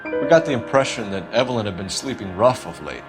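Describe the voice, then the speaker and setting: A man's voice: on the low side, lively intonation, fairly quick, music in the background, rough recording. An actor playing an earnest police homicide detective in a 1940s crime game, formal and pressing in his questioning. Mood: businesslike.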